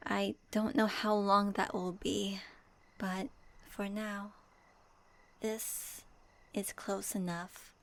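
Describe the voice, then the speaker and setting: A woman playing a gentle, thoughtful young swordswoman traveler, speaking softly to the listener in a fan audio roleplay. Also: mid-range voice, flat delivery, takes it slowly, gravelly, long pauses. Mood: wistful, tender. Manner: soft, reflective, addresses listener directly.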